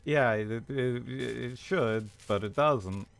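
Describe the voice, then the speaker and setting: A man's voice: mid-range voice, slow pace, vocal fry. A man giving casual, playful gameplay commentary as a streamer. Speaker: game streamer.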